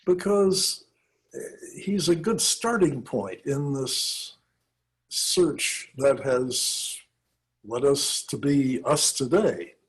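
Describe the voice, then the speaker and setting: A man, a minister, giving an informal talk on church history, thoughtful and halting with many pauses. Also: mid-range voice, husky.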